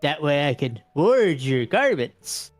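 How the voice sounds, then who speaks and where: A man's voice: on the high side, very animated, slow, no echo, soft background music. A man voicing a courtly, pious vampire in a tabletop game, prudish around ladies, with hesitant, stammering, old-fashioned politeness. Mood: courteous, fussy. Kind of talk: improvised tabletop roleplay.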